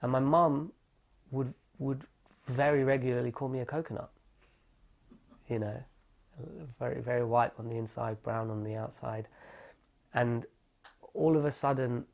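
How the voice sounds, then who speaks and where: Young man, interviewee, reflective storyteller, gravelly undertone. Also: medium pitch, fairly even intonation, moderate pace, long pauses, a little mellow. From England. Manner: thoughtful, self-correcting, reflective.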